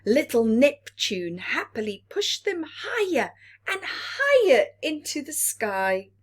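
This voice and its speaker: A woman's voice: high voice, very animated, slow pace. A woman reading a picture book aloud to young children, with dramatic delivery and asides to the listeners. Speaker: children's story reader. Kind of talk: expressive read-aloud picture-book narration with whispered asides.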